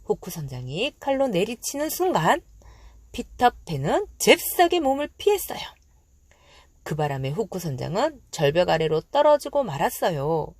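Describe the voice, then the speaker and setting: Lively woman storyteller, dry close sound. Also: medium pitch, moderate pace, no room sound. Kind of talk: expressive children's storybook narration.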